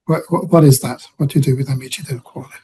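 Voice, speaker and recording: A man's voice: medium pitch, fairly even intonation, fast, dark tone, breathy edge. A man hosting an interview, asking curious, conversational questions with hesitant fillers. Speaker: interviewer host.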